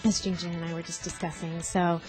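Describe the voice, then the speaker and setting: A woman's voice: on the low side, little pitch movement, brisk, darkish tone, faint music behind. A woman guest in casual studio chat, upbeat and explaining at length. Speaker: guest co-host of another show. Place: broadcast studio.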